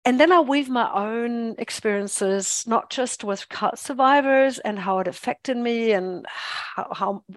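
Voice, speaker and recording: A woman's voice: on the high side, unhurried, few pauses, no echo. A middle-aged woman with a German accent, a journalist and author speaking thoughtfully as a guest in a podcast interview. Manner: thoughtful, self-deprecating, many restarts.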